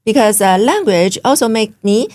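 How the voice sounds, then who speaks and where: A woman from China speaking English with a Chinese accent as an interview guest, pausing often with fillers. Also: medium pitch, animated, a little mellow. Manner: hesitant, many fillers, simple English. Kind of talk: interview conversation.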